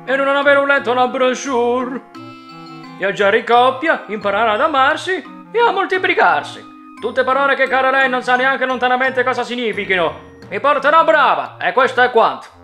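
An actor voicing a vain, fussy and irritable cartoon beast, haughty and exasperated. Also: male voice, extremely high pitch, expressive, moderate pace, sharp tone, soft background music, full character voice. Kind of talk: animated series dubbing.

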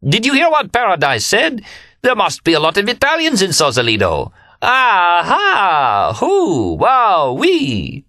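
Man acting out a high-pitched, whooping, boisterous young hipster. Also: wildly swooping pitch, a little slow, dry recording, clean studio sound, exaggerated voice. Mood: hysterical glee. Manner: shouting, whooping, hip jazz slang. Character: exuberant jive-talking young French man, boisterous, roaring with laughter. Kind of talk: dramatised dialogue in an audiobook.

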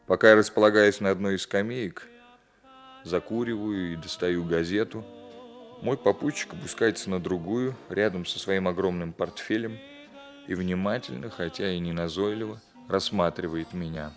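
An actor playing a reserved, watchful young police captain in a Soviet radio drama, measured and composed. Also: male voice, low voice, soft background music. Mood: measured narration.

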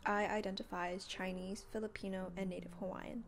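A young woman from San Francisco, narrating her student documentary in a steady, earnest scripted read. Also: mid-range voice, fairly quick, a little hiss or hum. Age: young adult.

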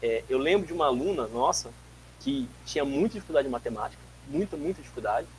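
Animated man lecturing on a video call. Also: mid-range voice, fast, a little hiss or hum, rough recording. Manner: animated, gestures a lot, tells anecdotes with many restarts. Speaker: guest lecturer, physics teacher. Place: home office with bookshelves. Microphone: webcam mic on a video call.

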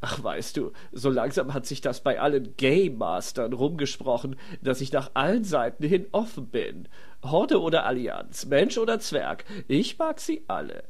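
A man's voice: medium pitch, slightly rough. A man voicing a flirtatious, camp blood elf priest with a coquettish manner in a comedy fantasy audio drama.

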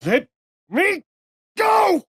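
Sly, ancient male vampire for a game: theatrical, flirtatious, slow drawl, very animated pitch, dry close recording. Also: no room sound, full character voice. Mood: indignant. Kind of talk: video game character acting.

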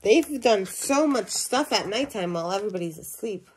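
A woman's voice: medium pitch, expressive, normal speed, slightly rough, low-quality recording. A young woman reading a children's picture book aloud, adding simple questions and asides for young listeners. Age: young adult. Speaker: storybook reader. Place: small indoor room at home.

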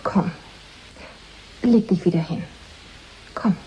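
A woman's voice: on the low side, slow, warm tone, breathy edge, exaggerated voice, rough recording. An actress playing a worried, caring woman questioning her troubled partner in a radio drama. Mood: worried, soothing. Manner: concerned, questioning. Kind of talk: 1980s German radio drama acting. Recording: faint background noise.

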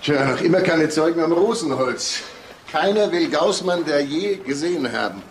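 A man playing a calm, matter-of-fact police detective in a crime drama, with dry, measured delivery. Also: on the high side, a little hiss or hum. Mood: reporting. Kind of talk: German TV crime drama acting.

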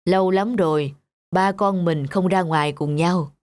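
A woman's voice: on the low side, measured pace, darkish tone, natural voice. Earnest, protective cartoon father voiced by a woman. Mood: conciliatory. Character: big middle-aged father, protective, patient, concerned. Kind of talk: animated moral-tale dubbing.

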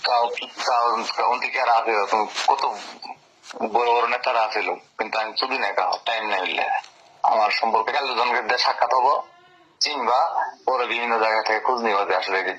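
Fast, domineering man on a muffled phone call. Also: mid-range voice, fairly even intonation, bright, forceful tone, a little hiss or hum. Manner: domineering, insistent, repetitive. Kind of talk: informal phone conversation. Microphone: telephone.

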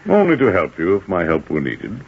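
An actor playing a calm, sceptical Roman dignitary, measured and shrewd, in 1940s radio acting style. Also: male voice, sits low, creaky, husky, highs cut off, exaggerated voice. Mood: probing, knowing.

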